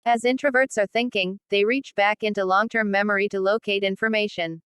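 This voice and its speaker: A woman reading written passages aloud in a measured, formal manner. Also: medium pitch, fairly even intonation, a bit bright, smooth, dry recording, studio quality.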